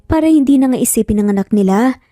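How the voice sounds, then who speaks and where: Mellow Filipino woman narrating a story. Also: a little high, animated, fast, no room sound, clean studio sound. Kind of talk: scripted romance story narration.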